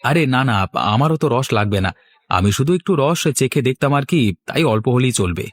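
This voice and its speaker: A man plays a naive cartoon townsman visiting a village. His mid-range voice swings widely in pitch. He is chatty, courteous and trusting. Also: lively intonation, brisk, natural voice. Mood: polite. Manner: polite, chatty. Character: naive, polite city visitor, gullible son-in-law. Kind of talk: cartoon voice acting.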